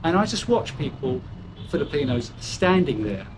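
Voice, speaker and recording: A man's voice: fairly high pitch, sing-song, moderate pace, noisy background. An older British man chatting candidly as an interview guest, rambling with fillers and restarts and poking fun at himself. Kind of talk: casual chat.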